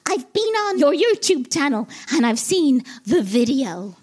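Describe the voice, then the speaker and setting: Woman as a squeaky, outraged little frog puppet boy. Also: sing-song, measured pace, darkish tone. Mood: suspicious. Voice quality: squeaky, childlike. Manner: accusing, dramatic.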